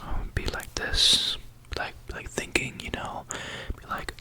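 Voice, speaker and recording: Brief: young adult ASMR storyteller, whispering throughout, tired, crisp bright whisper, close mic. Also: unhurried. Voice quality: soft unvoiced whisper. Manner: rambling with many likes and ums. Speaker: YouTuber.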